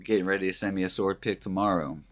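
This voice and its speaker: Deep, stuffy-voiced man chatting on stream. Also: steady tone, fast talker. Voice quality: raspy. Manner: laid-back, joking, greets chat by name. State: congested, stuffy from a cold. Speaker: stream co-host. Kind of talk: casual live-stream chat.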